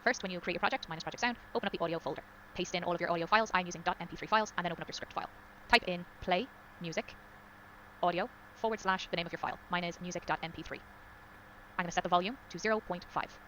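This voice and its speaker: A tutorial presenter with a medium-pitched voice races through step-by-step instructions. The manner is plain and instructional, with faint noise behind. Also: rapid-fire, a bit bright, rough recording.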